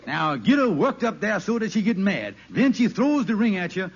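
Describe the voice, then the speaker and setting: A scheming, bossy sitcom hustler with a high voice that swoops up and down. He hems and wheedles through mangled big words in the manner of vintage radio comedy. The old recording is dull and slightly noisy. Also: big pitch swings, moderate pace, vocal fry, slightly rough, exaggerated voice. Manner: hemming, wheedling, uses malapropisms. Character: scheming, bossy lodge-brother friend, a small-time schemer. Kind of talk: 1940s radio sitcom acting.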